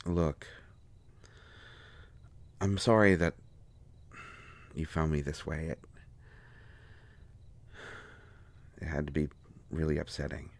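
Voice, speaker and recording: A man playing an injured bookish fellow in an audio roleplay, cranky yet gentle, with halting, fussy wording and a pained delivery. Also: a bass voice, gravelly, exaggerated voice. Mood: soft, apologetic.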